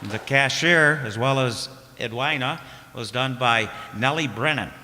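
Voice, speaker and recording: Male announcer in a noisy, echoey hall. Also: medium pitch. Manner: halting, informal. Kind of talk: radio play closing credits before an audience. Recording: echoey hall, loud noise, audience sounds.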